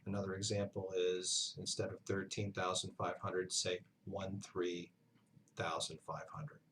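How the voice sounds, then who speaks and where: A man giving an instructional lesson as a flight instructor, speaking in a measured, halting way with pauses mid-sentence. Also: low-pitched, little pitch movement, fairly bright tone, slight background noise. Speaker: flight instructor, university lecturer. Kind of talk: instructional narration, explanatory lesson.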